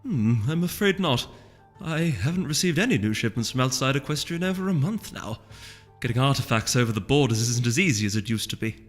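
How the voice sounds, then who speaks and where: A man's voice: medium pitch, expressive, a little fast, lightly acted. A man voicing a kindly elderly female pony shopkeeper with a folksy, confiding manner in a storybook reading. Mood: friendly. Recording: long reverb with music underneath.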